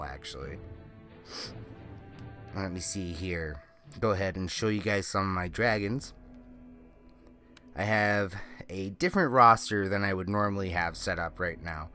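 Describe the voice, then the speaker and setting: Male gaming host: low-pitched, a bit stuffed-up, rambling casual explainer, faint music underneath. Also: moderate pace, bright, forceful tone. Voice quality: slightly nasal from a cold. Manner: rambling, self-correcting explanations. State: congested with a lingering cold. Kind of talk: casual game walkthrough commentary.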